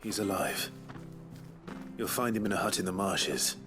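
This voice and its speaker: An actor playing a stoic, guarded hero in a video game, speaking tersely and steadily with a British accent. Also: male voice, sits low, music in the background. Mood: calm. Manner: terse, measured. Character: stoic, guarded swordsman hero, quietly principled.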